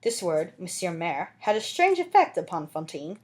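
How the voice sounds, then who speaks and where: A woman's voice: on the low side, very animated, moderate pace. A woman reading a classic novel aloud as an amateur audiobook narrator, with dramatic scripted delivery.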